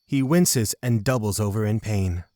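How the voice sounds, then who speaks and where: A man's voice: fairly low pitch, moderate pace, warm tone, no echo, clean studio sound. A man narrating a scripted fantasy audio drama.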